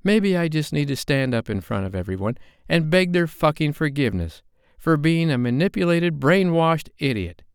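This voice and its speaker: A man's voice: mid-range voice, lively intonation, moderate pace, a little mellow, clear, resonant tone, dry recording, studio quality, pitched above the performer's own voice, close to an everyday voice. A man voicing an upset young woman in an audiobook, speaking in a raised, strained, heated way. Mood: angry, bitter.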